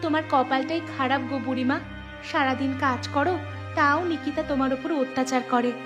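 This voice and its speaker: Woman voicing a high-pitched, inquisitive village neighbour. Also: steady tone, a little fast, over music, natural voice. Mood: sympathetic, chatty. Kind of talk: cartoon voice acting.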